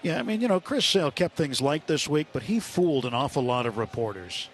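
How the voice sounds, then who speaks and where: An American man doing live radio play-by-play of a baseball game, with unhurried pitch calls and pauses between phrases. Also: expressive. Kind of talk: live sports commentary. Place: ballpark broadcast booth. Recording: live stadium broadcast, ambient noise.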